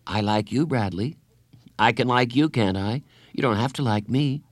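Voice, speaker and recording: Man reading as a gentle, patient woman counselor. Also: medium pitch, moderate pace, no echo, studio quality, natural voice. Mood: reassuring. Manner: gentle, earnest, reassuring. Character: kind, patient young female school counselor, gently encouraging.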